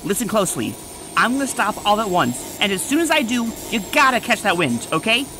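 A woman's voice: medium pitch, sing-song, brisk, noisy background, natural voice. A woman voicing a small, plucky and helpful desert creature in a cartoon comic dub, earnest and encouraging. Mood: determined.

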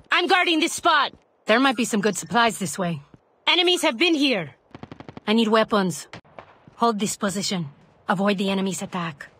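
Casting: actress as young female game soldier, tough and determined, mid-pitched, clipped commands over ambient noise. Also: fairly bright tone, close to an everyday voice. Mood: shouting, urgent. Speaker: playable operator in a mobile shooter. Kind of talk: video game combat voice lines.

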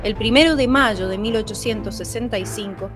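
A woman narrating a radio drama in a clear, declarative way. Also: mid-range voice, fairly quick, over music.

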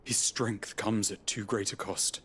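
An actor playing a loyal, solemn young knight in a game cutscene, speaking formally and deferentially. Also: male voice, lowish voice, steady tone, measured pace, a bit bright, a little hiss or hum. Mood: earnest concern. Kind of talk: dramatic game cutscene acting.

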